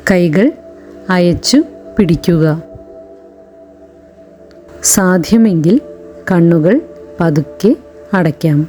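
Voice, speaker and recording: Slow, mellow woman guiding a meditation. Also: on the low side. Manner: gentle, soothing, short phrases with long pauses. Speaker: meditation guide. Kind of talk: guided meditation narration. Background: background music.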